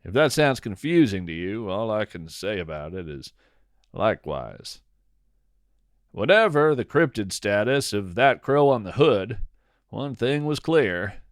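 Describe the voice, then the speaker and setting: A man narrating a scripted, whimsical nature story in a measured, dramatic storytelling manner with deliberate pauses. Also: on the low side, big pitch swings, no echo, studio quality. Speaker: podcast host and narrator.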